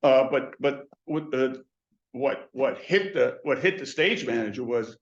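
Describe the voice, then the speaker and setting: A man's voice: medium pitch, lively intonation, unhurried, highs cut off. An older man presenting on theater intercoms in a webinar, talking in loose runs with many restarts and fillers. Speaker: intercom industry educator.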